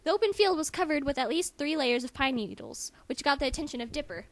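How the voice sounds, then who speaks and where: A woman's voice: sits high, lively intonation, quick pace. A woman doing an amateur mock-dramatic story reading at home, mixed with casual commentary. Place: friend's house. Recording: faintly noisy amateur home recording.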